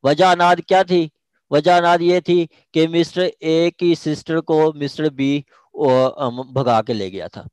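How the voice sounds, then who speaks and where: A man's voice: a little high, darkish tone, no room sound. A man with a Pakistani accent teaching an interactive online law class, often checking that students follow. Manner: checks understanding with repeated short questions.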